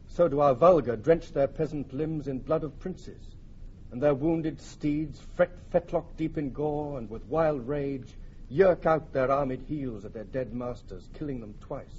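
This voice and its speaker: An actor playing a humbled, dignified French herald, speaking formal, measured verse in classical Shakespearean radio style. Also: male voice, mid-range voice, a little mellow, natural voice. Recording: dull, noisy archival radio drama recording.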